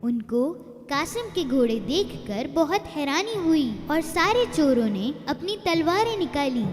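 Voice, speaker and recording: A woman narrating a children's moral tale in a slow, expressive storybook manner. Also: high voice, big-room sound, soft background music.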